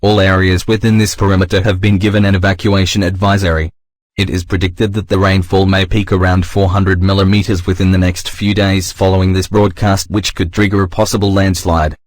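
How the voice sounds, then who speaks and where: A man voicing a formal, stern emergency broadcast announcer, reading a scripted alert in a measured, impersonal manner. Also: sits low, no echo, clean studio sound, natural voice.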